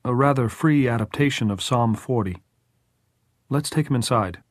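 A man's voice: mid-range voice, normal speed, no echo, voice pushed up, natural voice. A man playing a wry, practical man with a dry manner in an audiobook. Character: wry, practical man, gently weary, mildly profane.

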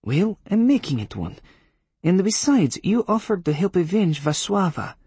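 Male narrator putting on a haughty Polish witch woman, dignified and sarcastic, higher lively voice, husky edge. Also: moderate pace, no room sound, exaggerated voice. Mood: indignant. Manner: dignified, sarcastic, coolly diplomatic.

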